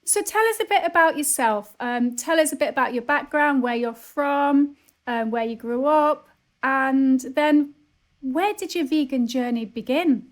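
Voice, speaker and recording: A woman with a distinctly high-pitched voice hosts an interview. She speaks conversationally, putting casual questions to a guest. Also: a little slow. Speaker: interviewer, summit host.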